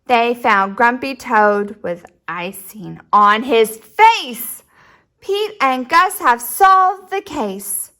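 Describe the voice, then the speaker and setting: A woman's voice: fairly high pitch, expressive, drawn-out words, sharp tone. A woman speaking expressively to young children, as in a read-aloud or simple lesson. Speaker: storyteller and drawing teacher for children.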